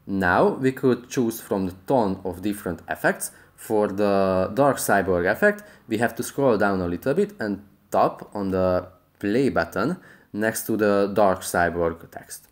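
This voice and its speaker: A man with a fairly low voice presents a tutorial. He narrates the steps as scripted how-to instruction. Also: expressive, unhurried.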